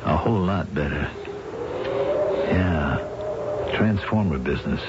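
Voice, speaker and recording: An actor playing a jaded, matter-of-fact war veteran turned hired killer in a radio drama. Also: male voice, very deep voice, lively intonation, a little slow, heavy background noise. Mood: cynical. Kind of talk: 1970s radio drama acting. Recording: muffled old radio drama broadcast.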